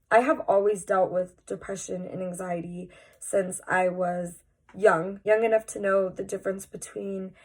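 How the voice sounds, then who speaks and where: A woman's voice: mid-range voice, darkish tone. A young woman with a stuffy, slightly nasal voice from a cold, speaking candidly and earnestly to her viewers in a personal vlog. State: congested, sounds nasal from a cold. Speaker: vlogger.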